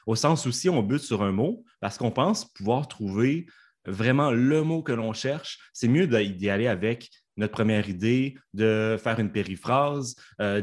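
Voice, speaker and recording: Hesitant Canadian French man, low voice. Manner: hesitant, many fillers and restarts. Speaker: interpreter. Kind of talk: podcast interview. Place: home studio.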